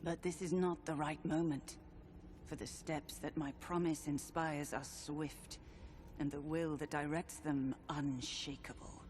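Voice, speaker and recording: An actor playing a solemn, brooding fantasy game character, with grave and cryptic archaic phrasing. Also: male voice, on the high side, level tone, measured pace, a little mellow, slight background noise, natural voice. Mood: cold resolve. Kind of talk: scripted dramatic game dialogue.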